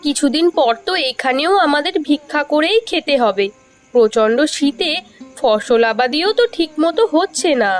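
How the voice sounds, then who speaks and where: A woman's voice: sits high, expressive, normal speed, faint music behind. A woman playing a worried, complaining poor farmer's wife in a cartoon fairy tale, with a plaintive delivery.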